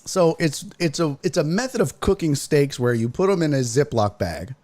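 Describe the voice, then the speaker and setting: An American man with a fairly high voice that swings widely in pitch. He chats like a livestream host bantering with his audience. His talk is casual and profane, full of exasperated, exaggerated teasing. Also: very animated, normal speed, a little mellow. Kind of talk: casual chat, bantering and reading viewer comments.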